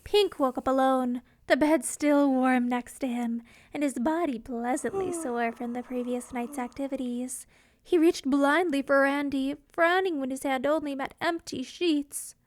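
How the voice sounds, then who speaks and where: Very high-pitched woman narrating a story. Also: a little slow, dry recording. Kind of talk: scripted dramatic fan fiction narration.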